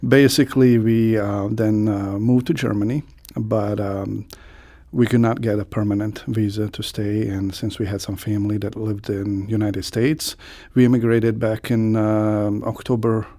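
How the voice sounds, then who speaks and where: A man from Croatia, an accordionist, answering radio interview questions with many pauses and fillers. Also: lowish voice, level tone, dark tone. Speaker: guest accordionist.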